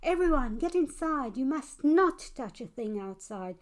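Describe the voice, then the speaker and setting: An earnest, brave young child from a storybook, played by a woman. The lines are animated and dramatic, spoken out with plucky conviction in rhyme. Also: sits high, unhurried, pitched above the performer's own voice. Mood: urgent warning. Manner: animated, dramatic rhyming delivery. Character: earnest, brave young child narrator battling a germ monster.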